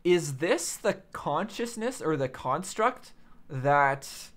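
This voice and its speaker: A man giving casual, off-the-cuff reaction commentary, musing aloud in a puzzled, questioning way. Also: a little high. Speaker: reaction video host.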